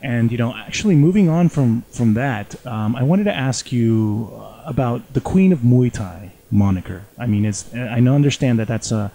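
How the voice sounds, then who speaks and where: A man's voice: mid-range voice, animated, moderate pace, few pauses, dark tone. A man interviewing casually over the phone in a rambling way, full of filler phrases.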